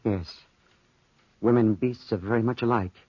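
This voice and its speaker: A man's voice: on the low side, steady tone, moderate pace, no room sound, lightly acted. Taunting soldier played by a man, muffled vintage radio. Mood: fascinated, amused. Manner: taunting, theatrical. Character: stranded soldier, cruel and teasing, fascinated by a panther. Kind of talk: 1940s radio drama acting.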